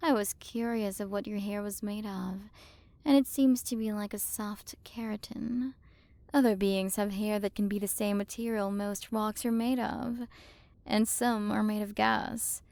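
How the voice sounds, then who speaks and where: Woman doing haughty curious alien galaxy queen for asmr, soft n condescending, lots of fry, super dry sound. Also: mid-range voice, steady tone, unhurried, no room sound, lightly acted. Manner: soft, condescending, teasing, at times whispered. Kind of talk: ASMR roleplay.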